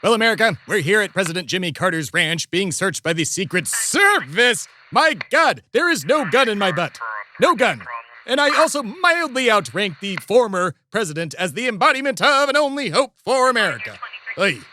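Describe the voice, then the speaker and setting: A man's voice: high voice, fast talker, hardly any pauses, pushed and bright, a little hiss or hum. A man playing a pompous, blustering billionaire host in a scripted comedy audio drama, with theatrical, emphatic delivery. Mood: indignant. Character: pompous, blustering billionaire man, self-proclaimed embodiment of America. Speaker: podcast host.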